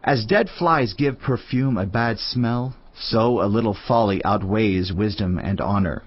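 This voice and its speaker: A man playing a weary, reflective teacher of wisdom in a dramatised audio Bible, husky-voiced, with a measured, earnest, declamatory delivery. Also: on the low side, muffled recording. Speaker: scripture narrator. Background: music.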